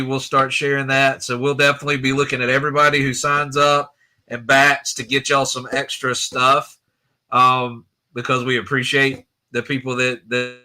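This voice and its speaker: A man's voice: medium pitch, sharp tone. A man co-hosting a casual stream, easygoing and upbeat as he wraps up the show. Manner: easygoing, upbeat, wrapping things up. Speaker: co-host. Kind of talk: casual roundtable chat. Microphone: remote stream mic.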